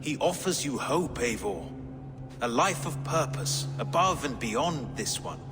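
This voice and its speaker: A man's voice: mid-range voice, animated, a little slow, music in the background, natural voice. An actor playing a loyal, pious aide to a Saxon king, earnest and formal, in a video game. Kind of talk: video game cutscene acting. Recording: long reverb, clear background noise.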